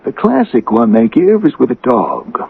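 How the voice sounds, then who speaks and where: An actor playing an insinuating, folksy, coolly scheming townsman in a 1980s radio drama, with a raspy, creaky voice. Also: mid-range voice, huge pitch swings, moderate pace, heavily acted. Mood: patient, explaining. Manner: folksy, leading, persuasive. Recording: dull lo-fi radio recording.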